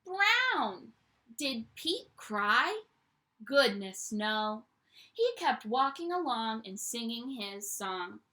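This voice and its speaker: A young woman teacher reading a picture book aloud to children at home, in an animated storytime voice that invites them to join in. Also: fairly high pitch, very slow. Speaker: primary school teacher.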